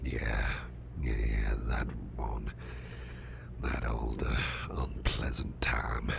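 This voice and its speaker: Casting: male actor as big grumpy boss for ASMR roleplay, extremely low gravelly murmur, halting and restrained, clear background noise. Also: flat delivery, heavily acted. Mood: agitated, restrained. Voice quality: very deep, gravelly, breathy near-whisper. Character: big, strong grumpy male boss trying to stay calm. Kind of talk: whispered ASMR roleplay, personal attention.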